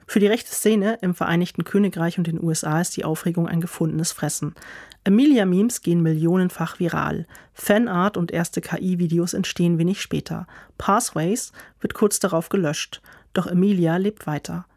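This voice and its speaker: Female news narrator, lower voice, dry booth sound. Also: moderate pace, darkish tone, dry recording.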